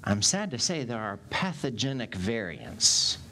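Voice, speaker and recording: A man in his forties, a pastor preaching a sermon in a church sanctuary on a headset mic, animated and gesturing as he speaks. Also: mid-range voice, measured pace, a little hiss or hum.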